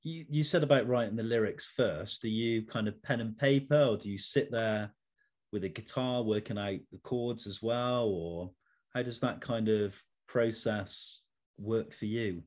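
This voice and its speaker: A male interview host with a slightly low voice. He speaks conversationally, putting questions and comments to his guest. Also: unhurried, a little mellow.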